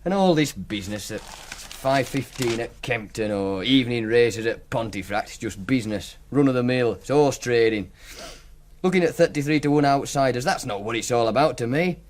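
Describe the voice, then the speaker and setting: Actor playing a cocky Yorkshire punter, animated. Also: male voice, mid-range voice, normal speed, natural voice. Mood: earnest, confiding. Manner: colloquial, storytelling, needling. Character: cocky, teasing Yorkshire racing punter running a betting scheme. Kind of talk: radio drama acting, monologue and dialogue.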